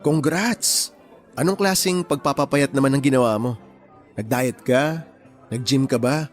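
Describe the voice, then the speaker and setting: Kind young male friend, played by an actor, mid-range voice, gentle, earnest advice-giving, romantic radio drama. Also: mid-range voice, unhurried, soft background music, lightly acted. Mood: pleasantly surprised.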